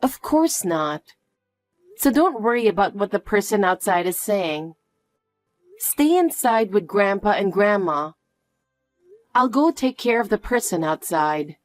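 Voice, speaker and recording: A woman playing a calm, protective mother who speaks softly and reassuringly to her daughter. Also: mid-range voice, no room sound, soft background music. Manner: soft, slow, reassuring. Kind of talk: dramatic dialogue.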